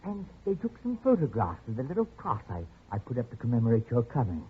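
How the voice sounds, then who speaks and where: A man's voice: mid-range voice, wildly swooping pitch, quick pace, slightly rough, heavily acted. An actor playing an obsessive, sly old man who keeps a captive and adores his books, in a 1940s radio drama style. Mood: sly, soothing. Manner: softly condescending, turning to frantic pleading. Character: obsessive old male Dickens lover keeping a captive, sly then desperate. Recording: muffled, noisy old radio broadcast.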